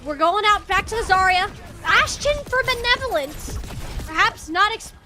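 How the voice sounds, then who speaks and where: A woman's voice: very high-pitched, animated, normal speed. A woman doing live esports play-by-play commentary in a casual, wry, slangy way.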